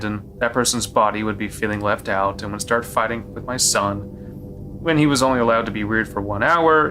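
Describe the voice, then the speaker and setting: A man's voice: fairly low pitch, close to an everyday voice. A man playing a resigned father who explains horrifying things matter-of-factly in a scripted horror audio drama.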